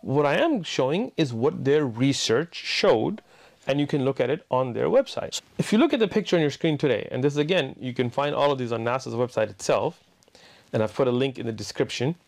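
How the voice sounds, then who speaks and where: Animated man preaching and explaining. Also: mid-range voice, a little fast, vocal fry. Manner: emphatic, rhetorical questions, gesturing. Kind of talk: explanatory religious talk to camera. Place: indoor room with bookshelves.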